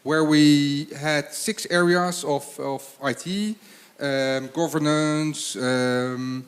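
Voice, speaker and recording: A man, a consultant, presenting at a conference, speaking haltingly with many fillers and restarts. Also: on the high side, steady tone, clean tone. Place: conference hall with audience. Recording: long hall reverb.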